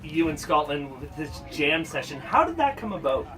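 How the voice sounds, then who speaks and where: A man's voice: on the high side, very animated, moderate pace, bright, forceful tone. A man working as a radio interviewer, asking questions in a casual, chatty style. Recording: distant mic, clear crowd noise.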